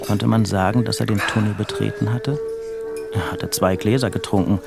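A man's voice: on the low side, steady tone, normal speed, gravelly. A man narrating a radio play in a literary, restrained and introspective way, with music behind him. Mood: measured, reflective. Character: restrained, introspective male narrator of a crime novel.

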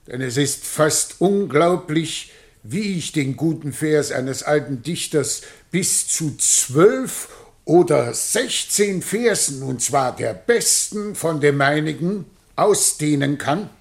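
An actor playing a vain, long-winded poet in a German radio play, with an affected, self-satisfied manner. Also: male voice, fairly high pitch, lively intonation, slow pace, creaky, exaggerated voice. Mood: smug.

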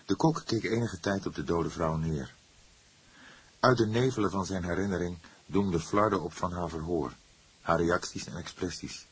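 A man with a very low, strongly husky voice reads crime fiction aloud. His delivery is measured and dramatic, as an audiobook narrator telling a story. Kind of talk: scripted crime novel narration.

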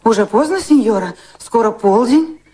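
An actress playing a talkative, anxious, deferential maid in a radio drama. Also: female voice, a little high, lively intonation, moderate pace, warm tone, close to an everyday voice. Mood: urgent, respectful. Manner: deferential, chatty.

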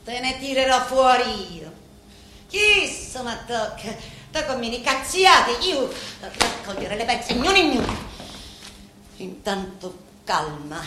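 An actress playing a bitter, scheming woman of a mafia family in a stage drama, with a Sicilian accent and a deliberate, theatrical delivery that swells suddenly. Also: a little high, sing-song, bright, forceful tone, heavily acted. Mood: furious, commanding. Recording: very echoey room, faint background noise.

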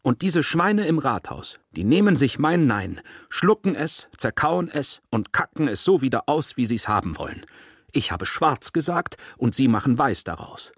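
A man's voice: medium pitch, big pitch swings, normal speed, husky, no echo, studio quality, pitched above the performer's own voice. A man voicing an aggrieved, pathetic villager who protests emphatically and self-righteously in a literary audiobook. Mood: bitter, outraged. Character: aggrieved, pathetic, indignant male villager of little means. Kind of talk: acted dialogue in a literary audiobook reading.

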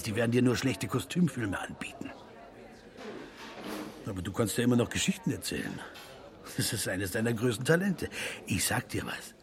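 An actor playing a worldly film producer who talks as a loyal, urging friend in a radio play. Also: male voice, fairly low pitch.

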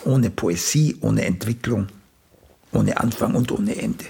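A man's voice: on the low side, measured pace, vocal fry, mellow, husky, heavily acted. An older male actor playing a blunt, vain, once celebrated playwright in a German radio play, opinionated and sardonic in manner. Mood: scornful. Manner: opinionated, sardonic, tags questions with "gell".

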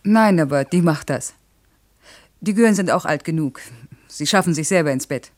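A woman's voice: low voice, animated, normal speed. An actress playing a proud, stubborn working single mother in a radio play, clipped, blunt and defensive. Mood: defiant. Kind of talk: German radio play acting.

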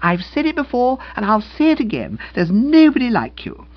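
A man voicing a bright, effusive, chatty young woman. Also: extremely high pitch, huge pitch swings, moderate pace, lo-fi sound, pitched above the performer's own voice, exaggerated voice. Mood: delighted, grateful.

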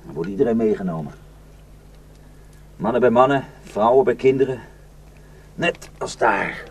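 A man's voice: medium pitch, lively intonation, normal speed, natural voice. An actor in a radio drama playing a weary, bitter, well-travelled man who grimly warns of atrocities. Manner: grim, cursing, rambling storyteller. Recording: noisy radio play recording.

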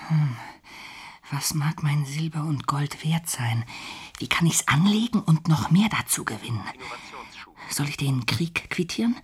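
An actor playing a greedy, excitable young adventurer in a radio play, with a breathy, husky voice. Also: male voice, on the high side, full character voice. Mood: musing, greedy. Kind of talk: radio play dramatic monologue.

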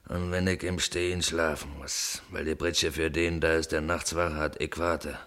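A man's voice: extremely low pitch, flat delivery, normal speed, a bit bright. An actor in a German radio play playing a stubborn, suspicious policeman, grumbling in colloquial speech.

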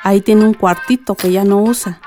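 An actress playing an affectionate middle-aged Mexican mother and school head in a radio soap, gentle and encouraging. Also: mid-range voice, steady tone, normal speed, soft background music, natural voice. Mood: fond, hopeful. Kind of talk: radio soap opera dialogue.

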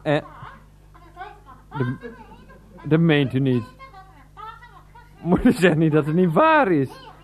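A man's voice: fairly high pitch, sing-song, takes it slowly, pauses often. A man playing a flustered adult son who stammers and keeps getting exasperated with his mother on the phone, in a 1990s radio play. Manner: stammering, exasperated, bursts into laughter. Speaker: character in a radio drama.

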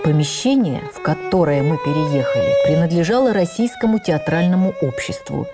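A woman playing an actress who recalls her life in the theatre, narrating in the first person for radio drama in an expressive, measured, literary way. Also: low-pitched. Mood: earnest, reflective. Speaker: actress reading a stage memoir. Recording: radio broadcast with faint music.

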